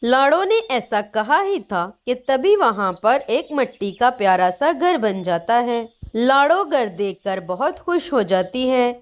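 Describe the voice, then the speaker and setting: A woman's voice: fairly high pitch, very animated, measured pace. A woman narrating a scripted children's story.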